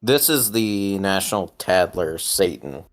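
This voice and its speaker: A man's voice: low voice, expressive, a little slow. A man playing a tabletop game, reading case documents aloud in a casual way. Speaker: tabletop role-playing player.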